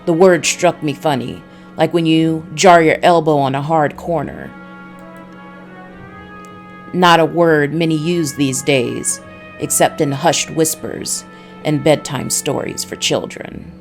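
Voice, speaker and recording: Woman reading a low-voiced, world-weary noir detective. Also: slow pace, gravelly, faint music behind, heavily acted. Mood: brooding. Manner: dry, brooding first-person narration.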